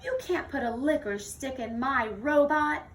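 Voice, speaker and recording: A woman's voice: high voice, moderate pace, voice pushed up, natural voice. A woman voicing an earnest, careful young girl inventor in a children's storybook, insistent and easily flustered. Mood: alarmed. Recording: distant mic with faint noise.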